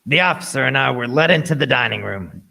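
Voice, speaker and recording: A man playing an earnest young narrator recounting his adventure deliberately in a scripted radio play. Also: mid-range voice, animated, creaky, bright, forceful tone, exaggerated voice. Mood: grave, recounting.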